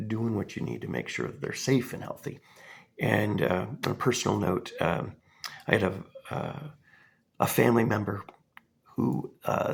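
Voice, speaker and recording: Hoarse man in his fifties, gravelly rasp, husky throat, earnest halting talk, low voice. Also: a bit bright. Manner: halting, many fillers, earnest and measured. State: hoarse from a cold. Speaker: response video maker. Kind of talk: casual spoken commentary.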